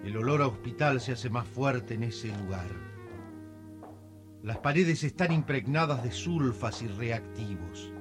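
A man with an Argentine accent narrates a radio serial over clear background music. His delivery is slow and theatrical, building suspense with measured phrases. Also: medium pitch, animated.

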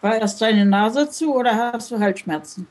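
A woman playing a question game on a podcast, asking quick, brisk questions in a casual way. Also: medium pitch, a little mellow. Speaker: podcast guest player.

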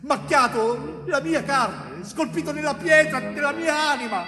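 A man acting a wrongly jailed young bricklayer in a theatrical monologue, anguished and pleading, speaking in halting, emphatic phrases. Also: extremely high pitch, expressive. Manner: dramatic, halting short phrases with emphatic repetition. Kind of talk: theatrical monologue, stage narration. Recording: reverberant echoing hall, music underneath.

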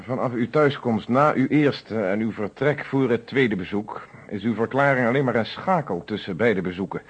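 Husky stern police inspector played by a man. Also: medium pitch, natural voice. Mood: formal, controlled. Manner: formal, measured, authoritative interrogation. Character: stern, probing male police inspector, confident and insistent. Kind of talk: old Dutch radio detective play acting. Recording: dull lo-fi old radio recording.

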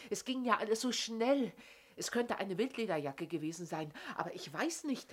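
A woman playing a concerned, talkative landlady in a 1970s German radio play, earnest and emphatic, with a husky voice. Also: medium pitch, lively intonation, moderate pace. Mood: earnest, unsettled. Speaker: actress in a radio drama.

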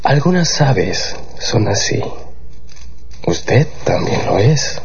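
An actor playing a lonely, tender young playwright in a radio drama. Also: male voice, fairly low pitch, big pitch swings, very slow, gravelly, full character voice. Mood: wistful, intimate. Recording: dull radio sound, faint noise.

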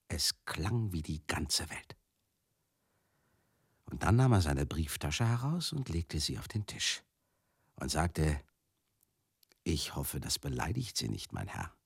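Husky, animated old-school raconteur. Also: male voice, very deep voice, no room sound, studio quality, full character voice. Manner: conversational, confiding, theatrical, halting and then bursting out. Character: rambling, excitable manager reminiscing wistfully about old glory days. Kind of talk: dramatic radio play monologue.

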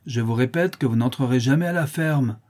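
Man acting an indignant young lady, husky. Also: mid-range voice, fairly quick, a little mellow, pitched above the performer's own voice, natural voice. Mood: commanding. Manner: imperious, agitated, exclamatory. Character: indignant young lady of the farm, loyal, commanding.

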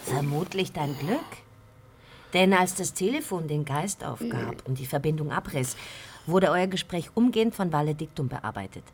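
An actress playing a composed, dryly teasing friend of the hero in a German crime radio play, talking conversationally. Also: female voice, sits low, animated, moderate pace, a little hiss or hum. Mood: matter-of-fact.

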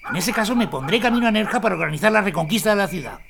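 Man acting a gravelly, embittered army colonel. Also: high-pitched, expressive, racing. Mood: insistent, exasperated. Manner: formal, insistent, sardonic. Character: embittered, defiant army colonel, worn down by defeat. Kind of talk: historical audio drama acting. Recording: noisy background.

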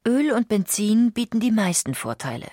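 A woman reads scripted documentary narration, articulating clearly as she explains. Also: fairly high pitch, sing-song, moderate pace, warm tone, no room sound, studio quality.

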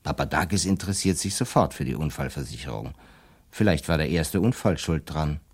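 An actor playing a shrewd, self-assured defence lawyer in a radio play, explaining his schemes deliberately and slyly. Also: extremely low pitch, lively intonation, darkish tone, breathy edge, full character voice. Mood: confident, sly. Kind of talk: German radio drama dialogue.

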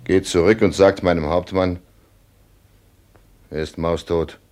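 An actor playing a grim, gruff robber with a gravelly voice in a classical radio play. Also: male voice, sits low, steady tone, measured pace, darkish tone.

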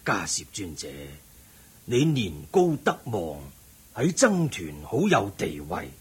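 A man acting in a radio drama as a genial, learned Buddhist lay sage, with a measured, preaching and expressive manner and a husky voice. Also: lowish voice, full character voice. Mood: earnest, instructive.

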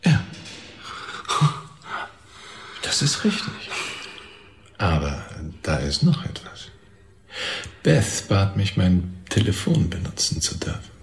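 An actor playing an evasive, self-serving informant under questioning, halting and theatrical, dropping into whispers in a radio drama. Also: male voice, low-pitched, very animated, faint music behind, heavily acted. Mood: confiding, halting. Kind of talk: radio drama dialogue, confessional account. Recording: roomy, echoing studio sound.

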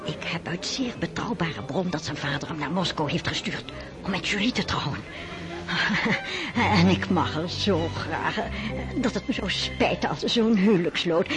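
A woman's voice: on the low side, lively intonation, unhurried, over music. A woman playing a scheming aristocratic mother in a radio play, talking in a gushing, coaxing way. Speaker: mother of Boris. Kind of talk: radio drama acting.

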